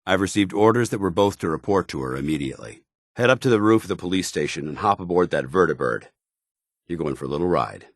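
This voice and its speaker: Game companion role: dutiful armored military officer, stern and loyal, low clean voice, formal clipped delivery, dry recording. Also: male voice, expressive, fast, dry recording. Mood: commanding, proud. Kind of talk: video game companion dialogue.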